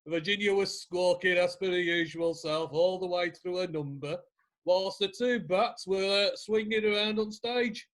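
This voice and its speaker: A man's voice: high voice, fairly bright tone. A man playing a cheeky, sardonic pantomime actor giving evidence in a comic courtroom play. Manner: mocking, cheeky, hesitant when addressing the court.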